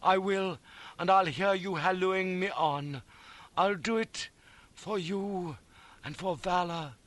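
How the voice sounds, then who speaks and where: A man's voice: sits high, slow pace, a bit bright, no room sound, pitched above the performer's own voice. A man voicing a noble, sentimental officer, breathless and fervent, in an audiobook adventure story. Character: earnest, noble young British officer, dutiful and sentimental.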